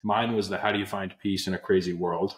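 A man's voice: low voice, fairly even intonation, brisk, reverberant. A young man from Pennsylvania, a guest on a video call with earbuds, telling his story earnestly with many fillers and restarts. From Pennsylvania, USA. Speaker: guest, app co-founder. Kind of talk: casual interview storytelling. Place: small room indoors. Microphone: earbud headset on video call.

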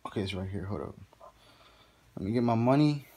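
A man's voice: lowish voice, expressive, fairly quick, mellow. A young man talking casually to his phone camera, rambling and cursing as he moves around his house and then outside. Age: young adult. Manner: rambling, mumbled asides, frequent cursing. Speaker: vlogger. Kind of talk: casual handheld vlog. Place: house indoors, then outdoors in a neighborhood. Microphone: phone held at arm's length. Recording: noisy phone recording.

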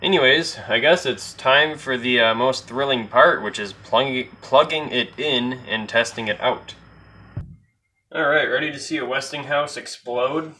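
A man's voice: mid-range voice, unhurried, bright, forceful tone, rough recording. Offhand man narrating a hands-on repair. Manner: offhand, thinking aloud. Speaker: hobbyist radio repairer. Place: indoor workbench.

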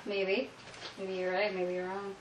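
A woman's voice: medium pitch, flat delivery, a little slow. A young woman host chatting and teasing casually in a small room at home, picked up by a camera mic. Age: young adult. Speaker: vlog host. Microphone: camera mic at about arm's length. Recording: noisy home camera recording.

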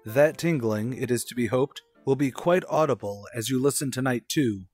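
A man's voice: medium pitch, moderate pace, a little mellow, faint music behind. Formal male host of a suspense show. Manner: formal, wry, old-fashioned. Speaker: radio play host. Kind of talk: scripted theatrical introduction.

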